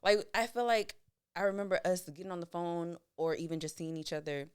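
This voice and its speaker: A young woman, talking casually with her best friend on a podcast, her low voice smooth and clear. She tells her memories in an animated way and rises sharply in pitch when she quotes herself. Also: a little fast, dry recording, studio quality. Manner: animated storyteller, jumps high when quoting herself. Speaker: podcast co-host.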